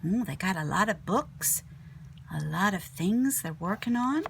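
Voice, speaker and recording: A woman teacher reading a children's picture book aloud expressively, sometimes dropping to a hushed tone. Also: mid-range voice, unhurried, gravelly, slight background noise. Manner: animated storyteller, drops to hushed whispery tones.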